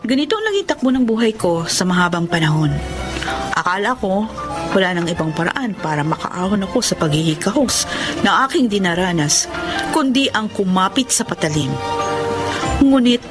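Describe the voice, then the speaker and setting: An actress playing a defiant, quick-tempered young mistress in a Filipino radio drama, with dramatic music behind her. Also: mid-range voice, big pitch swings, lightly acted. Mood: regretful.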